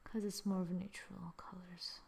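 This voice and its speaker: A woman hosting a casual drawing software tutorial, thinking aloud informally and often murmuring as she works. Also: on the low side, flat delivery, moderate pace, gravelly, slight background noise. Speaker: tutorial host. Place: screen-recording voice-over.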